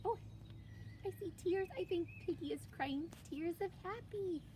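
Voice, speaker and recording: A woman reading a picture book aloud to children, expressive and guiding a young reader along. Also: very high voice, normal speed, noisy background.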